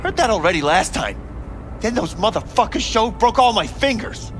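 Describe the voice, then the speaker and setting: A defensive male street informant for a video game. His voice sits fairly high and he is agitated, profane and grudging. Music plays clearly in the background. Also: very animated, normal speed. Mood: angry, rattled. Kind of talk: video game dialogue acting.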